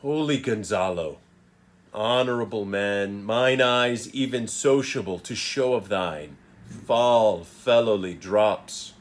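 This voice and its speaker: A man playing a powerful, wronged magician in a Shakespearean soliloquy, declaimed in a solemn, commanding, deliberate manner. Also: fairly low pitch. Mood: solemn, stern.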